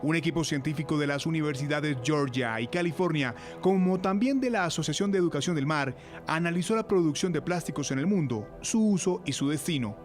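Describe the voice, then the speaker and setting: A man reporter reading scripted news in a steady, formal way. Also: fairly high pitch, a little fast, faint music behind.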